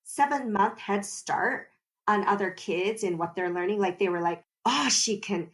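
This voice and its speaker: A woman speaks as a guest on a podcast, telling her story with animation. She explains things at length and laughs often, her voice sitting in an ordinary middle pitch. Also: unhurried. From United States. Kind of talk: conversational podcast interview.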